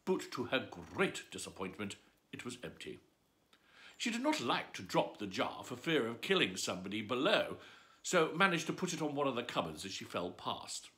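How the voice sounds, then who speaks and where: Brief: theatrical man reading a bedtime story, bright tone, wildly varied pitch. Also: very animated, normal speed. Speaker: storyteller host.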